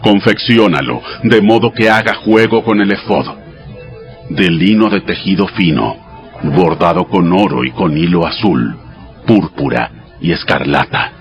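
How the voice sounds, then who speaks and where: God, low voice, solemn, measured, commanding, dramatized Bible audiobook, music underneath. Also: male voice, muffled recording. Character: God, solemn male deity giving sacred commands. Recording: studio voice over background music.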